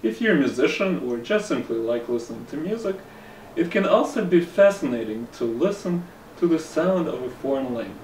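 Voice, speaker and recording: Man, Russian accent, language teacher, measured scripted lesson, roomy echo, a bit far from the mic. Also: fairly high pitch, big pitch swings, darkish tone, slight background noise. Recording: roomy room echo, somewhat distant mic, faint ambience.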